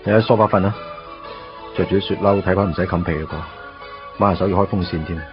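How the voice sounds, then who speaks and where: A man's voice: deep voice, fairly even intonation, quick pace, close to an everyday voice. An actor playing a wry, put-upon young man in a Cantonese radio drama, conversational and self-mocking with a Hong Kong accent. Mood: exasperated. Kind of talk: radio drama narration and dialogue. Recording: dull radio recording with music underneath.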